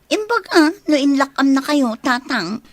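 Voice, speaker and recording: Bossy ilocano daughter in a radio comedy, highish voice, dry studio sound. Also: female voice, big pitch swings, measured pace, no room sound. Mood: busy, polite. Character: sassy, bossy grown village daughter. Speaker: radio drama actress.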